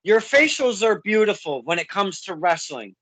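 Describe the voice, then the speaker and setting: Chatty laughing podcast host, dry sound. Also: male voice, high-pitched, sing-song, normal speed, a bit bright, dry recording, poor audio. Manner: laughs a lot, chatty asides to listeners. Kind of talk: casual interview.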